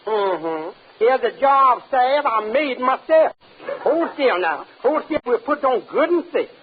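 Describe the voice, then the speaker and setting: Casting: brash incompetent druggist, extremely high voice, blustering and folksy, hissy old radio sitcom recording. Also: male voice, expressive, measured pace. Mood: confident bluffing. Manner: blustering, self-important, folksy. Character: cranky bluffing male drugstore clerk, brashly incompetent pharmacist. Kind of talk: 1950s radio situation comedy acting. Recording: muffled old radio recording, clear hiss.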